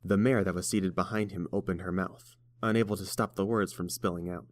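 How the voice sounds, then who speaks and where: A man's voice: low voice, fairly even intonation, a little fast, no room sound, clean studio sound. A man reading scripted fiction aloud as the narrator of an audiobook.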